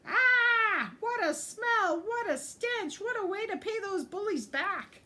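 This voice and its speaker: A woman voicing gleeful baby skunks who squeal in very high, loud voices in a storybook reading. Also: slow pace, slight background noise, heavily acted.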